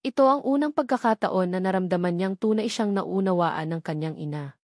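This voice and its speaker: A woman's voice: on the low side, brisk, darkish tone, dry recording, clean studio sound. A woman reading scripted, dramatic story narration.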